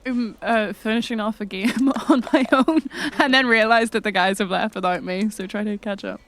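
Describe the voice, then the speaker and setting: A woman's voice: medium pitch, normal speed, a bit bright. A woman with an Irish accent casually improvising as a player in a tabletop role-playing game.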